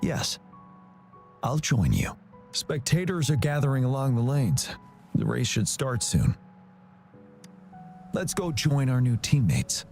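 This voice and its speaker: A man playing a calm, courteous young general in a video game, speaking in a composed, formal and reassuring manner. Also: mid-range voice, slow pace, long pauses, soft background music. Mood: calm, polite. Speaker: military general.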